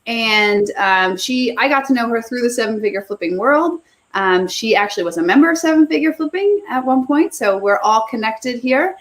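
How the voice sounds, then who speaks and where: A woman in her thirties hosts a live video chat in a bubbly, rambling way, laughing often. Her voice sits a little high. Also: expressive, moderate pace, hardly any pauses. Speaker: host.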